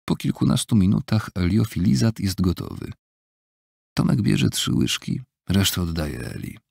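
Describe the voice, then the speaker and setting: A man reading an audiobook in a measured, even storytelling manner, recorded on a close studio mic. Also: dry recording. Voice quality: low gravelly husky voice. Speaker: audiobook narrator. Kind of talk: scripted audiobook narration. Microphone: close studio mic, dry.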